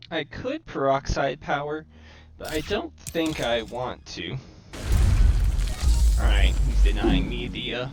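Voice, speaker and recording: A man's voice: mid-range voice, vocal fry. A man giving casual game commentary, deliberating aloud to himself through a microphone that distorts his voice to sound robotic. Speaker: gamer commentating own match. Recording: robotic, glitchy home microphone.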